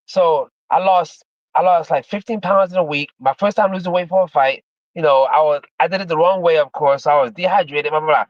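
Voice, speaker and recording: A man's voice: a little high, brisk, lo-fi sound. Man in his fifties, rambling streamer chat. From Miami, Florida, USA. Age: 50s. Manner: rambling and repetitive, drops in "man" and "you know". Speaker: livestreamer, former MMA fighter. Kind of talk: casual livestream chat.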